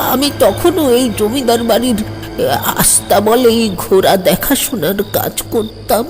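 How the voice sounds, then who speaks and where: A woman playing a frightened old male servant in an audio horror story, halting and imploring, repeating words. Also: on the high side, darkish tone. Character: frightened old servant man, former stable hand, pleading. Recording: long hall reverb.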